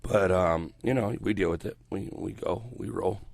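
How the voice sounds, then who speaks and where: Croaky older man, gravelly and deep. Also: moderate pace, a little mellow, no room sound. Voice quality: hoarse, croaky voice. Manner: rambling, loose, swears freely. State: recovering from a cold, voice coming back. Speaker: radio host. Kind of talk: casual morning radio chat. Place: small radio studio. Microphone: headset mic around the ear.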